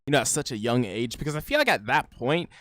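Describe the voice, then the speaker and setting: A man's voice: medium pitch, very animated, fast talker, fairly bright tone, no echo. Rambling man reacting on camera. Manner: rambling, full of "like" and "you know". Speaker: YouTube reaction host. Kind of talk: casual reaction commentary.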